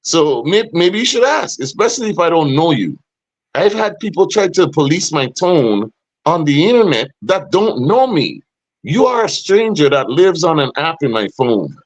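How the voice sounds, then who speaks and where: A middle-aged man with a deep, booming voice hosts a casual podcast. He speaks emphatically and gets animated when he pushes back with pointed questions. The sound is dry and close. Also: normal speed, no room sound, low-quality recording. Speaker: podcast host.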